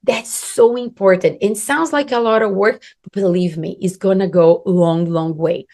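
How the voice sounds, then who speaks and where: A woman with a Brazilian Portuguese accent talks straight to the camera as a business coach, earnest and emphatic. Her voice sits at an ordinary middle pitch. Her English carries small non-native slips, and she leans hard on the points she wants to land. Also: normal speed, darkish tone. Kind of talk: instructional talk to camera.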